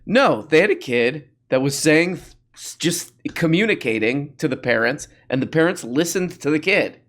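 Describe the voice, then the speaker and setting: A man's voice: on the high side, expressive, normal speed, fairly bright tone. A man hosting a comedy show, mocking clips in a rambling, self-interrupting way while losing his voice. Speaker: host.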